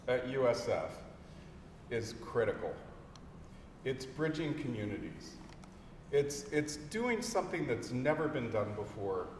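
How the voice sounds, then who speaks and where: A man speaking as a bereaved husband at a memorial lecture, hesitant and emotional, with many pauses and fillers. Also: medium pitch. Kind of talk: halting heartfelt remarks. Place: lecture hall. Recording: echoey hall from far off with noise.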